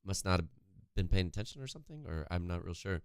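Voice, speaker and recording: A young man with a worn-out, hoarse voice casting an esports match on a home stream, chatty and reading chat aloud, close to a studio mic. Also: sits low, lively intonation, fast talker, dry recording. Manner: chatty, reads chat aloud, laughs often. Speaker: esports caster. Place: home streaming room, indoors. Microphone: studio condenser mic close in front, headphones.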